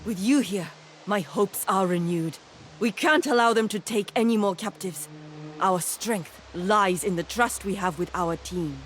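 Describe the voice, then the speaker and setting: A man's voice: high voice, measured pace, a bit bright, slight background noise. A man acting a worried, loyal warden in a fantasy video game. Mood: hopeful. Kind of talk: video game character dialogue.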